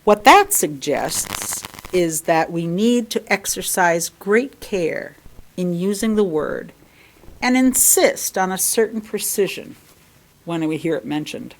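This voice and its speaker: A woman reading a written sermon aloud in formal, measured phrases, with deliberate emphasis and pauses. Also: fairly low pitch, poor audio. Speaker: lay reader giving a sermon. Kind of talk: sermon read from a written text.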